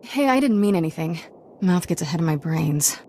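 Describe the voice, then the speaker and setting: A woman's voice: fairly low pitch, lively intonation, brisk, warm tone, slight background noise. An actress playing an irreverent young female Jedi apprentice in a video game, with a casual, flippant way of talking. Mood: sheepish. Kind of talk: video game cutscene acting.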